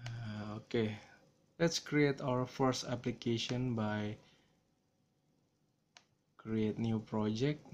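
Indonesian guy doing a coding tutorial on his phone, slow and hesitant, lots of uh, bit of background hiss. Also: medium pitch, darkish tone, highs cut off. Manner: slow, hesitant, apologetic, many fillers. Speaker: software tutorial maker. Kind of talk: screen-recorded coding tutorial. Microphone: smartphone camera filming screen.

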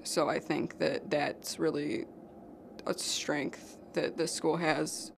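A woman's voice: low-pitched, little pitch movement, slow pace, gravelly, a little hiss or hum. A young woman, a college student, speaking in an interview testimonial. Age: young adult.

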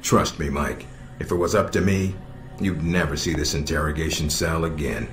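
An actor playing a calm, sardonic middle-aged spy handler in a video game, measured and dryly blunt. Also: male voice, rumbling low. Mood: dry, serious. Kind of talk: video game cutscene acting. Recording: roomy echo with music behind.

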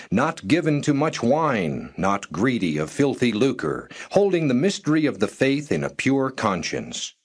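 A man narrating scripture in a measured, solemn and formal reading style. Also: medium pitch, breathy edge. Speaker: Bible narrator.

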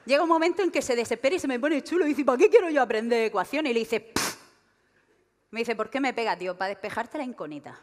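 A woman in her thirties with a Murcian Spanish accent, doing a stand-up comedy monologue and mocking her subjects in quick, animated asides. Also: fairly high pitch. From Region of Murcia, Spain. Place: large theater stage with live audience. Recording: long reverb of a large hall.

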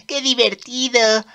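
A woman voicing a mischievous, excitable boy demon prince in a manga dub, brash and commanding. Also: fairly low pitch, exaggerated voice. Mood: excited. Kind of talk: amateur manga dub acting.

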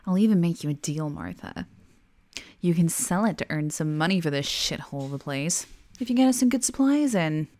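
Woman acting a low-voiced, mocking madwoman. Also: expressive, a little fast, a little mellow. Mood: breezy, bossy. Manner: sarcastic, taunting, theatrical. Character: mocking, remorseless criminally insane female asylum patient. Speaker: voice actor in an audio roleplay. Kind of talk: ASMR-style dramatic monologue roleplay.

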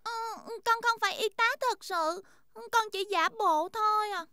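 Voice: very high voice, measured pace, pushed and bright, no echo, clean studio sound, full character voice. A performer voicing a sweet, helpful little girl sheep in a children's cartoon, childlike and earnest.

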